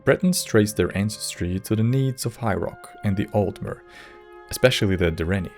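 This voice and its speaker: Male video essay narrator, low pitch, measured and wry scripted delivery, music underneath. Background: background music.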